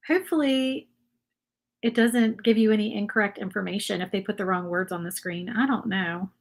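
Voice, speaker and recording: A woman's voice: medium pitch, unhurried, long pauses. A woman from Virginia with an Appalachian accent, chatting casually and rambling to viewers while she paints on a craft livestream. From Virginia, USA. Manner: chatty, rambling, reads viewer comments aloud. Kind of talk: casual live craft stream chat.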